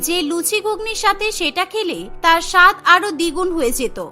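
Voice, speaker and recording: A woman's voice: extremely high pitch, animated, fairly quick, sharp tone. A woman reading scripted narration for a children's cartoon story, with music behind her.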